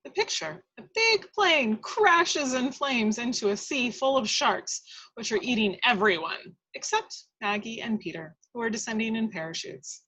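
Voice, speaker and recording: A woman's voice: fairly high pitch, expressive, moderate pace, sharp tone, slightly rough, poor audio. A woman reading stage directions as the narrator of a script table read, in a dramatic storytelling style.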